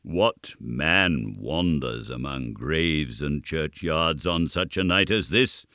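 A man voicing a stern, mocking old goblin in a storybook reading, deep and deliberate, with sneering questions. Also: lively intonation, creaky, sharp tone, heavily acted. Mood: stern, menacing.

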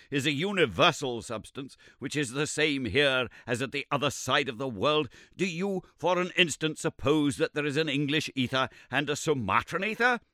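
A man voicing an irritable, sarcastic elderly male professor who scolds in a shrill, indignant voice. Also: sharp tone, dry recording, clean studio sound.

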